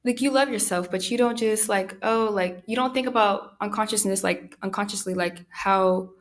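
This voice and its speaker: Soft-spoken young woman, halting and reflective. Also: medium pitch. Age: young adult. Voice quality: soothing voice. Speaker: podcast host. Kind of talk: reflective personal monologue.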